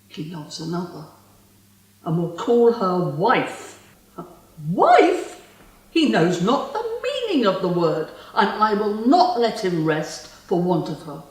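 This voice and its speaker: Woman acting a slow-spoken lovelorn fae in echoey room. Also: lowish voice, a little mellow. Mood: spurned, vengeful. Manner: theatrical, lyrical, deliberate delivery. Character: spurned immortal fae woman, lovelorn, possessive, vengeful. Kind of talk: dramatic stage monologue. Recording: distant mic in a very echoey room.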